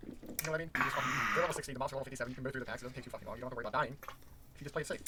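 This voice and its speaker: A man's voice: mid-range voice, steady tone, very fast, heavy background noise. A man giving gaming commentary, explaining in a profane, matter-of-fact way. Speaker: commentator in the old video.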